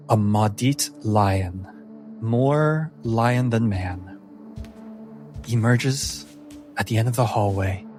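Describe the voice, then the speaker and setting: Guy game master telling a fantasy story real slow and suspenseful, mid-pitched voice, music playing behind. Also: darkish tone. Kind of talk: dramatic tabletop story narration. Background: background music.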